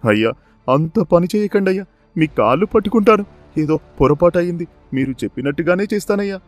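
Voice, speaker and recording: An actor playing a poor, honest, wronged village farmer in a cartoon moral story, speaking in an emotional, exclamatory way. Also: male voice, high voice, expressive, fairly quick, faint music behind. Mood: pleading.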